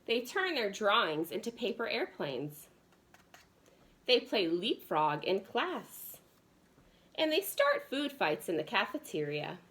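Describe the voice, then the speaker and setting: Woman as stern male principal, storybook character, stubborn, scolding, emphatic, animated delivery. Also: mid-range voice, slow pace. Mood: stern, dismissive. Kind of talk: children's storybook read-aloud.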